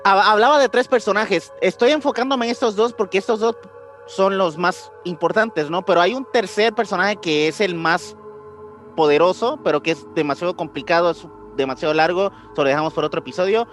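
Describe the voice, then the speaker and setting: A man's voice: sits high, brisk, fairly bright tone. A man with a Latin American Spanish accent co-hosting a podcast, explaining things casually with slang and frequent tag questions. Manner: colloquial slang, seeks agreement with tag questions. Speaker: podcast co-host. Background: music.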